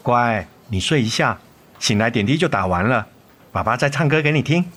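An actor playing a tender, remorseful father and ex-husband in a radio drama, earnest and gentle in manner. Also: mid-range voice, expressive, normal speed. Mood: tender, soothing. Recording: music under the voice.

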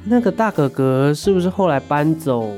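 A man's voice: fairly high pitch, lively intonation, moderate pace, warm tone, soft background music, natural voice. Light-voiced, easily flustered young guy, acted by a man. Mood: surprised, earnest. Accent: Taiwanese Mandarin. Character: good-natured, easily flustered young male student housemate. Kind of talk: radio drama acting.

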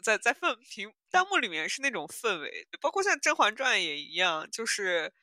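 A woman podcast host with a bright, crisp-edged tone in a very dry recording. She chats casually and giggles easily. Her phrasing is animated and halting, with words repeated as she goes. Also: mid-range voice, no echo.

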